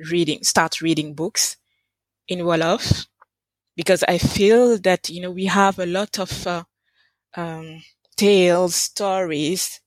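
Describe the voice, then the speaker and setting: A French-speaking woman, a translator who grew up in Senegal and Côte d'Ivoire, answers interview questions in a lowish voice. She talks in a measured, thoughtful way and often pauses with hesitant fillers. The sound is close and dry. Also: no room sound. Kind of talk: interview conversation.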